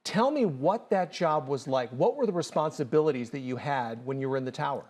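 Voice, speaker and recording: A man with a measured, formal delivery, interviewing a guest for broadcast news. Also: mid-range voice, lively intonation, darkish tone.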